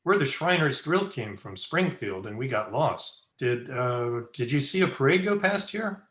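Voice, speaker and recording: An actor playing a drill team leader with a military but polite manner in an old-time radio comedy, heard in a roomy recording. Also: male voice, mid-range voice, animated, measured pace, fairly bright tone. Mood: sheepish. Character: drill team leader, barking orders yet politely lost. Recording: roomy, distant home microphone.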